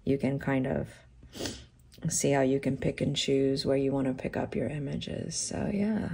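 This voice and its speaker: A middle-aged woman with a croaky voice chatting casually while unboxing nail art supplies, thinking aloud with lots of fillers. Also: very deep voice, measured pace, mellow. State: croaky from a sore throat. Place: tabletop crafting desk, camera overhead.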